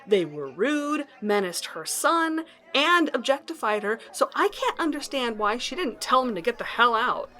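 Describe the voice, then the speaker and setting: A woman's voice: fairly high pitch, very animated, normal speed, few pauses, sharp tone. A woman playing a questioning wife searching for her missing husband in an audio drama. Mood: curious, indignant.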